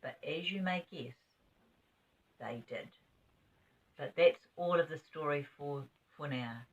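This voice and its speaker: A woman's voice: deep voice, slow pace. An older woman reading a children's story expressively, as a grandmother would. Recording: dull, distant home recording.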